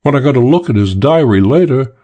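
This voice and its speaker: Man voicing a suave, husky English gentleman thief. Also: mid-range voice, expressive, fairly quick, muffled recording, close to an everyday voice. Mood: tense, composed. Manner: clipped, wry, old-fashioned British slang. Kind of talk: confessional crime story monologue in an audiobook.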